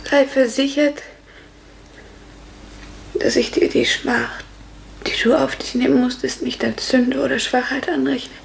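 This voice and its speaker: High, plaintive young serf woman. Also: level tone, unhurried, creaky, pauses often, heavily acted. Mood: hushed, moved. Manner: earnest, fragile, plaintive. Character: anxious, sorrowful former dancer, a devoted serf woman. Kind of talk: 1950s German radio play acting. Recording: roomy, hissy 1950s radio recording.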